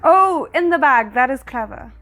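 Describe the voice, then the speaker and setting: Expressive woman reacting to a TV episode. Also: high-pitched, moderate pace, slight background noise. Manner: emotionally reactive, then thoughtful and analytical. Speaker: reaction video host. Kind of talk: casual reaction commentary.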